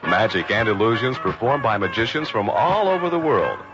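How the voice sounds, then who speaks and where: A man's voice: on the low side, lively intonation, moderate pace. A man reading a promotional radio commercial as a scripted announcement. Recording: dull old radio recording. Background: music.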